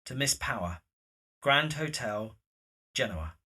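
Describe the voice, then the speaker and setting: A man's voice: medium pitch, expressive, slow pace, sharp tone, husky. A man narrating scripted scenes as stage directions for an audio drama.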